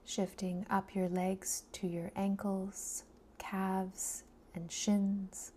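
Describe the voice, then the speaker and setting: A woman guiding a body scan meditation in a soft, soothing, breathy voice that often trails into a near-whisper, leaving long pauses between phrases. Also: mid-range voice, little pitch movement, a little hiss or hum. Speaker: meditation guide.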